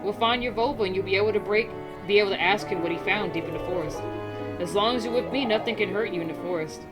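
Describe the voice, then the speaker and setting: A woman's voice: mid-range voice, quick pace, over loud music, natural voice. A woman voicing a sly, teasing girl in a fox mask, playful and mocking, while reading a horror game's dialogue aloud. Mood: coaxing.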